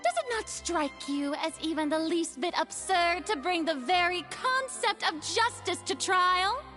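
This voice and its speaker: A woman's voice: very high voice, expressive, sharp tone, in a large hall, faint music behind, full character voice. A woman playing a proud, theatrical young female god of justice in a video game, hiding a burden. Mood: imperious. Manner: theatrical, haughty, dramatic pauses.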